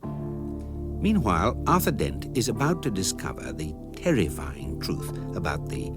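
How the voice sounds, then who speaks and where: An actor playing a wry, measured guidebook narrator, delivering scripted comic radio narration deliberately and drily. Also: male voice, deep voice, exaggerated voice. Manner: deadpan, droll, deliberate. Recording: music behind the narration.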